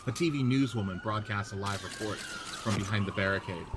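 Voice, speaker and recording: A man's voice: fairly low pitch, brisk. A man narrating screenplay scene directions as a podcast host and reader. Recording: faint background noise.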